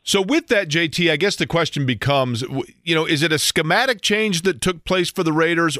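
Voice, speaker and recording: A man's voice: medium pitch, fairly bright tone, dry recording. A man hosting a sports radio interview, speaking into a close studio microphone.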